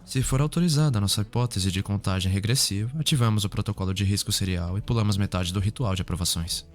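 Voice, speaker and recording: A man's voice: lowish voice, quick pace, lightly acted. A man with a Brazilian accent playing a businesslike, formal forensic investigator weary of bureaucracy in an ASMR roleplay. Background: background music.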